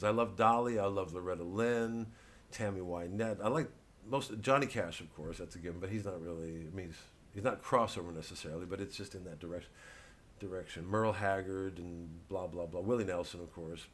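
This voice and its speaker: A man's voice: low voice, brisk. A man speaks as a satirical comedian in a loose, rambling rant. His delivery is sardonic, theatrical and profane, and he often breaks off into digressions.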